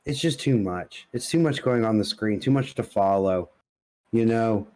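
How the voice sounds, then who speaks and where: A man's voice: fairly low pitch, moderate pace, mellow. A man co-hosting a podcast in loose, casual chat, peppering his talk with slang. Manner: informal, loose, says dude and like often. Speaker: podcast co-host. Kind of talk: casual chat about comics and films.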